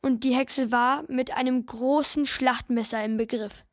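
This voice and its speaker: A woman's voice: high-pitched, steady tone, measured pace, dry recording, studio quality. An actress playing an anxious, pious young farm boy in a literary radio play, narrating and pleading. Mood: recalling, narrating. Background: music.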